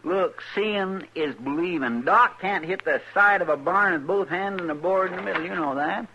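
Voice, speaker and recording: A folksy deputy sidekick from a radio western, high and bright in voice. He wheedles and rambles in a rural American Western drawl, stammering as he goes. The old recording is dull and noisy. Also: normal speed. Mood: eager wheedling. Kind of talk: 1950s radio western acting.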